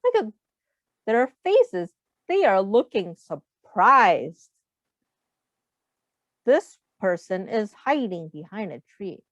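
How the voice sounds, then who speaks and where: A woman reading a picture book aloud to young children in an expressive, teacherly way. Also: medium pitch, slow, pauses often. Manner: expressive, asks the listeners questions. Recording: muffled, echoey room sound.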